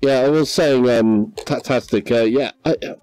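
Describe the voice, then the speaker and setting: A man chatting casually on a VR game livestream, relaxed and hesitant, with many ums. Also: medium pitch, moderate pace, clear, resonant tone, dry recording, soft background music. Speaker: livestream gamer.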